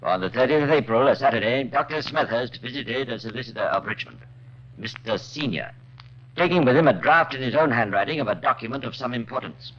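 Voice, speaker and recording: A man's voice: medium pitch, animated, fairly quick, natural voice. A man narrating as a wry, urbane true-crime storyteller in 1950s radio drama style, dry and conversational. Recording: muffled, noisy old radio recording.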